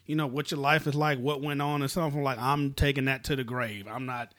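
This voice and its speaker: A man's voice: mid-range voice, level tone, fairly quick, a bit bright. A man co-hosting a casual discussion, talking bluntly and telling short anecdotes. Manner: blunt, storytelling.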